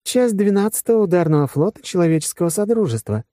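A man's voice: high voice, sing-song, fast talker, warm tone, no echo, clean studio sound, pitched above the performer's own voice. A man voicing a ship's artificial mind that reports formally and dutifully.

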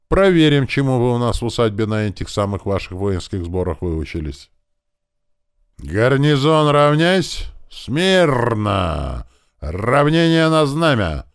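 A man's voice: lowish voice, big pitch swings, moderate pace, no echo, studio quality, pitched above the performer's own voice. A man voicing a stern older fortress commander who speaks with rustic authority in an audiobook story. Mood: brisk, commanding. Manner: commanding, rustic old-fashioned speech.

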